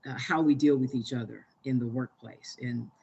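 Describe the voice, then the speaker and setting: Husky-voiced panelist. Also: mid-range voice, steady tone, normal speed, a little mellow, dull audio. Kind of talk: reflective panel talk.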